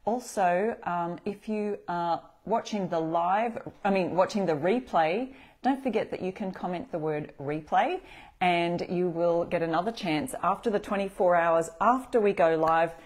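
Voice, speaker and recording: A middle-aged Australian woman hosting a live craft tutorial, chatting with viewers and greeting them by name from a table in a bright room. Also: lowish voice, measured pace. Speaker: craft demo host.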